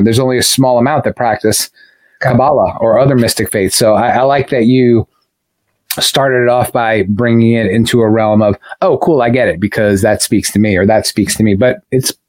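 A man of medium pitch chats as a podcast co-host, with very little room sound. He rambles discursively and casually, checking that others follow. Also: brisk, a little mellow, no room sound, poor audio.

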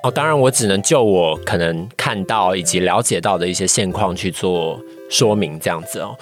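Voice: low-pitched, normal speed, soft background music. A young adult with a Taiwanese accent, answering as a podcast guest in a careful, thoughtful, casual way. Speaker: guest, voice-acting trainee.

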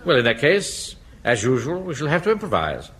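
An actor playing a pompous, easily flustered middle-aged English Home Guard captain in a British sitcom, speaking in clipped, officious commands. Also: male voice, medium pitch, wildly swooping pitch, brisk, a bit bright. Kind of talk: British sitcom acting before an audience. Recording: noisy old radio comedy recording.

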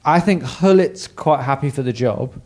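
A man's voice: mid-range voice, moderate pace, a little mellow, a little hiss or hum. A man from England hosting a casual football chat, leading new topics and laughing as he tells stories. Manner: chatty, laughs while telling stories.

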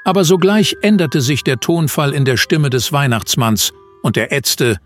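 A man's voice: a little high, lively intonation, normal speed. Male storybook narrator over music. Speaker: narrator of a comic Christmas audio play.

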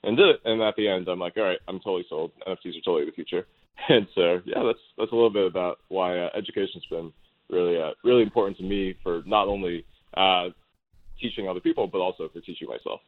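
A man's voice: low-pitched, fast, phone-quality sound. A man talking casually as an interview guest, telling his own story in an informal, anecdotal way. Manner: informal, self-quoting storyteller. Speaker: interview guest, startup co-founder.

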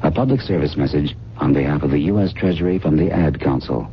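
Male announcer, very deep voice, formal scripted radio tag, muffled with slight hiss. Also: expressive, quick pace. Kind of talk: scripted public service announcement. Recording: muffled, noisy vintage radio broadcast.

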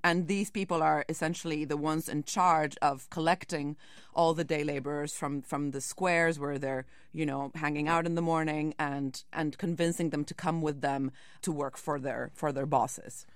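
A woman speaks as a reporter being interviewed. Her voice sits on the low side and is recorded very dry. She explains things in a searching way, with hedging asides. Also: steady tone, normal speed, clear, resonant tone, dry recording.

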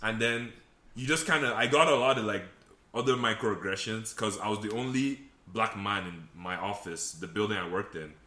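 A man's voice: on the low side, normal speed, pushed and bright. A man from Nigeria telling stories in casual chat, laughing often and acting out other people's lines. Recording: roomy echoey room.

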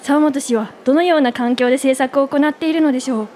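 A woman playing a brisk, polite reporter in a radio drama, formal and inquisitive. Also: high-pitched, a little mellow, slight background noise, lightly acted. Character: brisk, polite female reporter interviewing a tycoon. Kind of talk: Japanese radio drama acting.

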